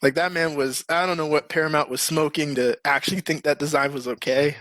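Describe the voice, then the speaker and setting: A man's voice: a little high, steady tone, brisk, fairly bright tone, dry recording. A man hosting a podcast in casual, slangy, informal chat. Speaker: podcast host.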